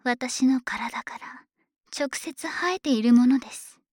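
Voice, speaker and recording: A voice actress playing a possessive, politely formal female dragon maid in an ASMR audio drama. She talks in a soft, breathy, often whispered way. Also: high-pitched, slow, no room sound, studio quality, heavily acted. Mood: teasing.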